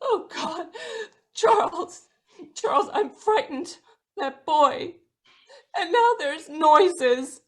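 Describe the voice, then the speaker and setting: A woman playing a frightened, bitter middle-aged wife in an old-time radio drama, intense and pleading, with a husky voice. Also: extremely high pitch, animated, drawn-out words, long pauses, fairly bright tone, exaggerated voice. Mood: frightened, urgent. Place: indoors, live video call performance.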